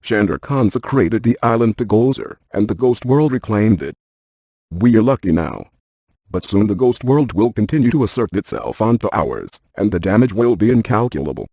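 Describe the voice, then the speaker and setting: A man playing a brainy, stiff ghost-hunting scientist in a video game, speaking in a precise, clinical deadpan. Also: lowish voice, normal speed, no room sound. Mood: deadpan, analytical.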